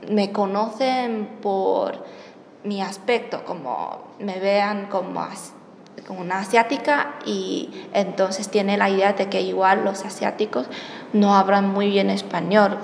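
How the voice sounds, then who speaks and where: A woman's voice: mid-range voice, vocal fry. Young woman, echoey hall. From China. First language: Chinese. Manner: hesitant, searching for words, self-correcting. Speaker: interviewee. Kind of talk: personal storytelling in a second language. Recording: echoey hall with faint background noise.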